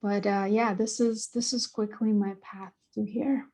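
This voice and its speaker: A woman from Bulgaria, a mathematics professor telling her story on a panel, halting with many pauses and fillers. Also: medium pitch, level tone, dark tone. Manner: halting, with many ums and uhs.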